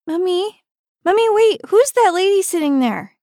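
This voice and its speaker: A woman's voice: very high-pitched, animated, moderate pace, mellow, no echo, studio quality, voice pushed up. A woman voicing a small child in a storybook, curious and pleading in a whiny way. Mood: curious, urgent. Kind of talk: storybook character voice.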